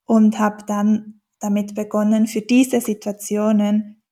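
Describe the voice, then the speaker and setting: A woman's voice: medium pitch, flat delivery, slow pace, warm tone. A woman from German-speaking Switzerland with a Swiss accent, a dialect teacher answering interview questions thoughtfully, with many fillers and restarts.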